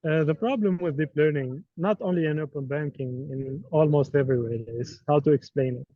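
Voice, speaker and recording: A man's voice: fairly high pitch, a little fast, dry recording. A native Arabic-speaking man teaching an online course, running a live quiz in a playful, teasing way. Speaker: course instructor. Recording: dull, dry online call audio.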